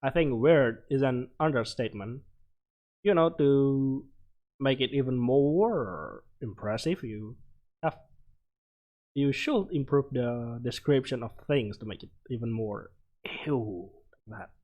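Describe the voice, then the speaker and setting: A man doing casual game commentary, reading on-screen text aloud and reacting with short, halting interjections. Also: mid-range voice, lively intonation, phone-quality sound. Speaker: let's player. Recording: music playing behind the voice. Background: game music.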